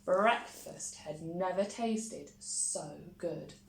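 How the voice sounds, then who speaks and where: A woman reading a children's picture book expressively, with dramatic pauses and little asides. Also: lowish voice, gravelly. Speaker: primary school story reader. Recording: roomy echo, faint background noise.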